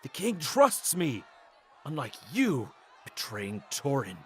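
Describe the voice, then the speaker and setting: An actor playing a righteous, hot-tempered guardian warrior, stern and urgent, in a fantasy audio drama. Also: male voice, mid-range voice, huge pitch swings, a faint crowd, a little hiss or hum. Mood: stern anger. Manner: stern, urgent, quick to anger.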